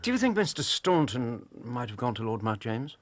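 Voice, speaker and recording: An actor playing a calm, courteous gentleman, a detective's companion, with a husky voice in an audio drama. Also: medium pitch, moderate pace, no echo, lightly acted. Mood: attentive.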